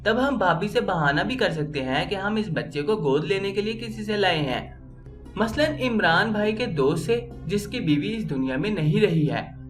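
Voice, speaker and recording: Woman performing a level-headed young sister in story narration: cautious, questioning, varied pitch, light music behind. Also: expressive, normal speed, lightly acted. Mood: cautious, placating. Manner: questioning, reasoning.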